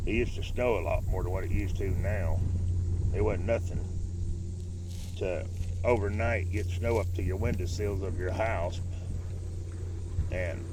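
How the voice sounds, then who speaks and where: A man in his fifties from Ohio with a Southern accent picked up in Tennessee, rambling through old fishing stories in a folksy, loose way while he fishes outdoors. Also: low-pitched. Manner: folksy, drawn-out reminiscing with loose grammar. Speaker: fishing vlogger. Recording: distant mic, clear outdoor noise.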